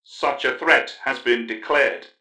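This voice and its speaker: A man's voice: medium pitch, slow, bright, forceful tone, echoey room. A man voicing an aloof, precise cyborg tech-priest who speaks in formal, pedantic recitals. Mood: clinical.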